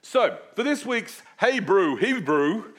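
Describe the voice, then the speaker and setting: A male preacher gives a teaching sermon in a conversational, explaining style. His voice is lively, with wide swings in pitch and lifts on the words he stresses. He keeps it informal with asides that make light of himself. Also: slow. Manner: animated, swooping emphasis on key words; chatty, with self-deprecating asides. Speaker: preacher teaching a series on a Bible book. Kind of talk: sermon with Bible teaching and prayer.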